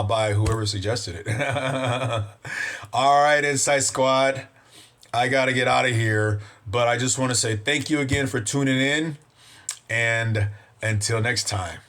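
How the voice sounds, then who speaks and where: A man's voice: medium pitch, a bit bright. A man hosting his own vlog, chatting casually and upbeat with his viewers, rambling and often saying "you know". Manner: upbeat, thankful to his audience. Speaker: vlog host.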